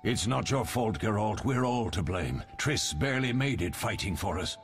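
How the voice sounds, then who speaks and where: An actor playing a weary, fatherly older warrior mentor in a fantasy game, measured and grave. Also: lowish voice, expressive, a little hiss or hum. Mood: grave, consoling.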